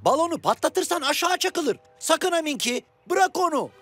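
A small cartoon sidekick, speaks high with swooping intonation. The character is practical and cautionary, in children's animation style. Faint music sits behind the voice. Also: female voice, lively intonation, moderate pace. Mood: cautioning.

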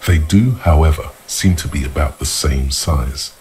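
Casting: a detective's inner voice, extremely low, measured and literary game narration over music. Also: male voice, darkish tone, a little hiss or hum, low-quality recording. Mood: measured, observant. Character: grave, observant inner voice narrating a detective's thoughts. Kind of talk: dramatic second-person game narration. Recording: music under the voice. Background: background music.

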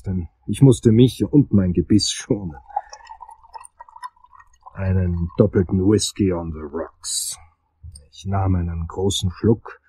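An actor playing a wry, world-weary investigator who narrates his case in a crime audio drama, husky and dry in delivery. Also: low voice, mellow, low-quality recording.

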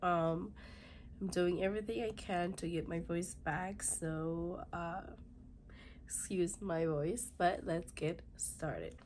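A woman in her thirties, hoarse and raspy from a cold, chatting through a beauty unboxing and reading product labels aloud in a halting way, in a small room. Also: on the low side, steady tone, darkish tone, slight background noise. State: hoarse, congested from illness. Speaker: beauty vlogger. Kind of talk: casual unboxing chat. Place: small bedroom with fluffy chair, indoors.

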